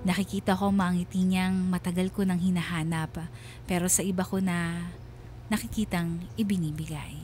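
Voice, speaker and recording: An actress voicing a heartbroken, jealous wife who narrates her letter in a radio drama, measured, earnest and sorrowful. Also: lowish voice, steady tone, a little mellow, a little hiss or hum, natural voice. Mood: sorrowful, wistful.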